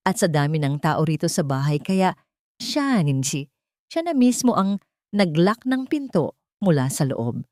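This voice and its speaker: A woman's voice: sits low, sing-song, unhurried, warm tone, dry recording, clean studio sound. A woman voicing a cruel, scheming young daughter-in-law in an audio drama, by turns threatening and sugary. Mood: falsely sweet. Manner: menacing threats, sugary fake tenderness.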